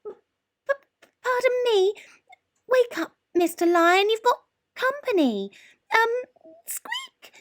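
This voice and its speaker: A tiny storybook mouse, a timid but brave little boy creature, squeaks out every line in a very high, bouncing voice, as a woman puts him on. He sounds breathless and eager, and his voice leaps wildly up and down while he talks slowly. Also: animated, full character voice.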